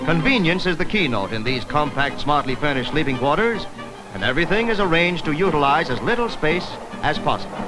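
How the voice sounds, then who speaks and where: A man's voice: fairly high pitch, animated, brisk, music in the background, low-quality recording. A man narrating a script in 1930s newsreel style, on an old film soundtrack.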